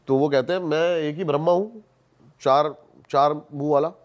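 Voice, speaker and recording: A man's voice: a little high, steady tone, normal speed, muffled recording. A man in casual podcast conversation, telling stories and explaining things emphatically, repeating words for stress. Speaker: podcast guest.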